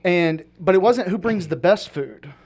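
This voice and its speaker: A man's voice: high-pitched, expressive, measured pace, darkish tone. A man hosting a podcast, talking in a rambling, casual way with lots of fillers. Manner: rambling, many fillers and restarts. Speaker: podcast host. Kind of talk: casual chat.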